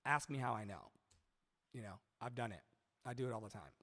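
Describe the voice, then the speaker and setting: Brief: male vocal coach on a live stream, boomy baritone-tenor, loose and chatty, mid-pitched, long reverb tail on the mic. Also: animated, a little fast, fairly bright tone. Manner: rambling, chatty, profane, sings snatches mid-talk. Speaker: singer and live-stream singing teacher. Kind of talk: casual live-stream talk with sung demos. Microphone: studio mic with added reverb.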